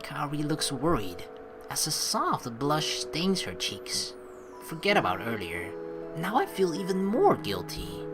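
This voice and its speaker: A woman's voice: sits low, very animated, unhurried. A woman hosting a game playthrough, reading the text aloud and adding jokey, rambling casual commentary. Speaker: let's play host. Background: game music.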